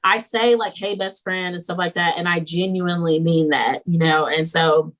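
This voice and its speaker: A young woman teacher chatting as a podcast guest, animated and laughing often, slipping into quoted voices as she tells stories. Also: on the low side, normal speed. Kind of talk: casual podcast interview.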